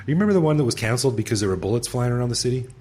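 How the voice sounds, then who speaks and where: A man's voice: mid-range voice, animated, very fast, a little mellow, breathy edge. A middle-aged man hosting a podcast, chatty and laid-back, laughing easily with an old friend. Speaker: podcast host. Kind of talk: casual interview.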